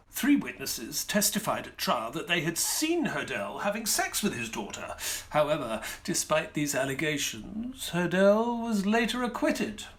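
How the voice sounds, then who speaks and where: An actor playing a loyal, melancholy doctor, narrating an audio play in a measured, wistful manner. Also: male voice, on the high side, a bit bright, slightly rough, full character voice. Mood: sober. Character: British doctor, loyal, melancholy friend narrating his memories.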